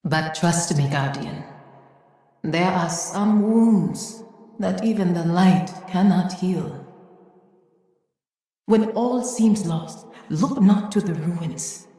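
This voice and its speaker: A wise, gentle woman in a video game, her voice low and soft-edged. She speaks slowly and solemnly, reflecting on the past, in a very echoey hall. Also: lively intonation. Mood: solemn, consoling. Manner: measured, reflective, solemn storytelling. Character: wise, gentle woman remembering a lost age. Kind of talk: dramatic video game monologue. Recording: echoey hall with long reverb.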